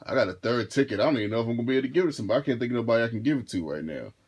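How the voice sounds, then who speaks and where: A deep-voiced man in his thirties telling a casual storytime to his viewers, rambling and restarting his phrases as he goes. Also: expressive, racing, darkish tone, slightly rough. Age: 30s. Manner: laid-back, rambling, repeats and restarts phrases. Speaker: vlogger and rideshare driver.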